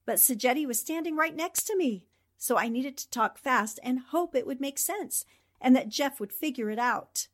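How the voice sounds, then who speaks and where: A woman playing a quick-witted, plucky newspaper reporter in a 1940s radio drama style. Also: high-pitched, expressive. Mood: explaining, amused.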